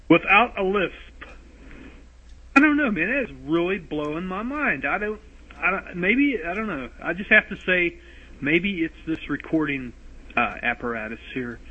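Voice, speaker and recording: A man's voice: high voice, husky. A man leaving a rambling voicemail for podcast hosts, talking in circles, restarting, and fretting about his lisp. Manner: rambling, self-interrupting, anxious about a lisp. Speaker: caller leaving a voice message. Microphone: phone voicemail recorder. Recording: tinny phone-line voice message.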